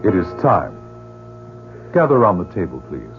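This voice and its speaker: Over faint music on a thin vintage radio recording, a formal male club announcer. His voice is fairly low and expressive, in classic radio drama style. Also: moderate pace. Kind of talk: old-time radio mystery acting. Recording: tinny old radio recording.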